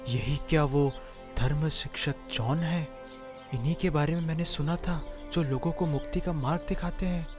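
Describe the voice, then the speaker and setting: Man acting an eager, high-voiced seeker. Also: moderate pace, natural voice. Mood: eager, curious. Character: eager male seeker of salvation. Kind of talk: cartoon Bible story dialogue. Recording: loud background music.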